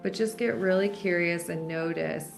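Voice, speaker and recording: A woman leading a guided meditation in a gentle, unhurried way, leaving long pauses between instructions. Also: on the low side, fairly even intonation, creaky. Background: background music.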